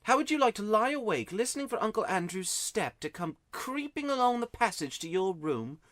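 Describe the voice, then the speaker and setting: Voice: very animated, brisk, a bit bright, no echo. A storyteller voicing an upset young schoolboy who talks in a raised, pleading voice. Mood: eager. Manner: pleading, worked up, raised pitch. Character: grubby, miserable schoolboy, tearful and excitable. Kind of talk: audiobook character voice.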